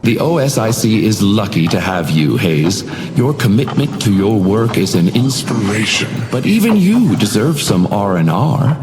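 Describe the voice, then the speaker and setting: A man's voice: on the low side, big pitch swings, normal speed. A man voicing an uncanny, suavely menacing hotel doorman in a video game, theatrical and unctuously polite, with insinuating courtesy. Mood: courteous, insinuating. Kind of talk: scripted video game character lines. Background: music.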